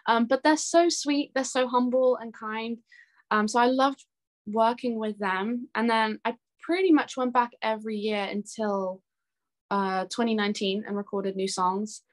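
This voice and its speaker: A woman's voice: on the high side, steady tone, measured pace. A young woman chatting casually and enthusiastically as a musician being interviewed. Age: young adult.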